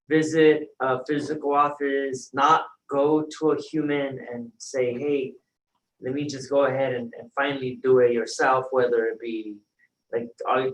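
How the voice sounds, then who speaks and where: A man's voice: medium pitch, a bit bright, slight background noise, poor audio. A man hosting a casual video call chat, easygoing and chatty as he introduces guests and asks questions. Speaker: host.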